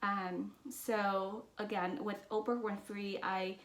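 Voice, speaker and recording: A woman's voice: mid-range voice, level tone, slow pace. A woman from Vietnam with as her talks earnestly and reflectively to her channel, with many fillers and restarts. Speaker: business and mindset vlogger. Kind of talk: personal talk to camera. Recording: roomy echo.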